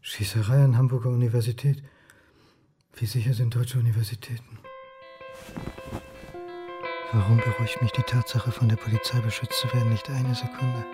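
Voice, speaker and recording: An anxious, brooding male academic in a radio crime play, acted by a man. His mid-pitched voice mutters haltingly through suspicious thoughts, with strong music underneath. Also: lightly acted. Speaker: lead actor in a radio crime drama. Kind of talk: radio drama acting with inner monologue.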